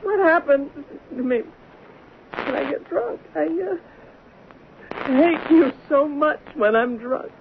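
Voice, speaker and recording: An actress playing a proud, bitter, hard-drinking middle-aged stage star in an old radio drama, theatrical and imperious. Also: female voice, high voice, slow, creaky, pauses often, full character voice. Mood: drunken despair. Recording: muffled, noisy old radio recording.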